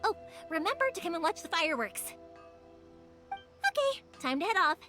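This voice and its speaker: A bubbly young fireworks maker for a video game. Her voice is extremely high and swings around in lively fashion, chatty and playful, with faint music behind it. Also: normal speed, full character voice. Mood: excited, cheerful. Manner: exclamatory, playful, informal. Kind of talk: animated video game voice acting.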